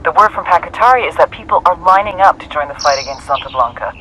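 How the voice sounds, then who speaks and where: An actor playing a wry, businesslike CIA handler with an American accent, talking over a radio in a video game. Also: male voice, on the high side, lively intonation, quick pace, pushed and bright, a little hiss or hum, poor audio. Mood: brisk. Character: brisk, sardonic CIA handler.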